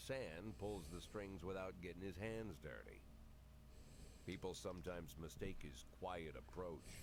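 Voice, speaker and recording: An actor playing a weary, world-worn police chief, narrating a crime game in brooding noir style. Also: male voice, low-pitched, noisy background.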